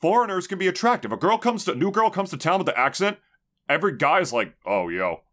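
A young man co-hosting a podcast, talking in a blunt, casual way with lots of swearing and quick asides. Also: sits high, lively intonation, a bit bright. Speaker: podcast co-host. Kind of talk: casual chat.

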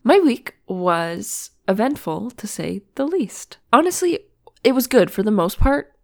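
A woman's voice: mid-range voice, sing-song, unhurried, vocal fry. A woman in her twenties from Ontario, Canada, co-hosting a podcast in casual chat, her nose stuffed up, telling stories with lots of "like". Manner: chatty storyteller, frequent "like". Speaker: podcast co-host.

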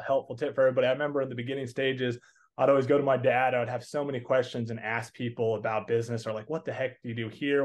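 Young Southern-accented man, interview guest, earnest and chatty. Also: medium pitch. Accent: Southern American. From Texas. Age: 20s. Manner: earnest, rambling, many restarts and fillers. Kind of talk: casual interview answers.

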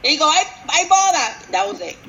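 A woman's voice: sits high, big pitch swings, a little fast, fairly bright tone, a little hiss or hum. A woman co-hosting a podcast, tossing in teasing quips in casual chat with friends. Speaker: podcast co-host.

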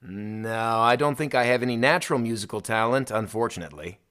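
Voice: mid-range voice, fairly quick, a bit bright. A speaker reading scripted lesson answers with a dry, wry delivery.

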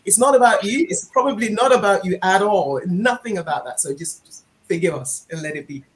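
A Nigerian woman whose first language is Yoruba, chatting on an online panel and telling stories in an animated, laughing way. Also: mid-range voice, a little fast, rough recording. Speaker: panel guest. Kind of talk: casual group chat online.

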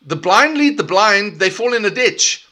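A middle-aged South African man hosts his own leadership podcast alone. His voice sits high and bright. He talks with energy and emphasis and often repeats or restarts his phrases. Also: lively intonation, normal speed. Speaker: podcast host. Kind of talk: solo leadership podcast talk.

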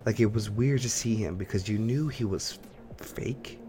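A man's voice: fairly low pitch, normal speed, warm tone, soft background music. A man co-hosting a podcast, chatting casually about games and films in a rambling, think-aloud way. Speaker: podcast co-host.

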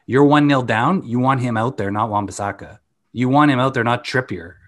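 A man's voice: medium pitch, a little fast. A man co-hosting a casual sports chat, blunt and opinionated, swearing casually. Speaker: podcast co-host.

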